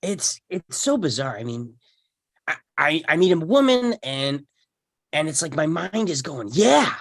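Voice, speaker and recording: Bitter, awkward sitcom divorcee, acted by a man, halting delivery, lively intonation. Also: on the high side, gravelly, dry recording, exaggerated voice. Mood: embarrassed. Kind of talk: sitcom script table read.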